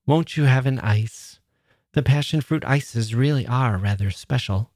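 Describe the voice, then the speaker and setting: A man reading aloud and voicing a shy young girl who speaks softly and gently. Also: medium pitch, measured pace, dry recording, studio quality, lightly acted. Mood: gracious. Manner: soft, gentle. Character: shy sweet young girl, soft-spoken and eager.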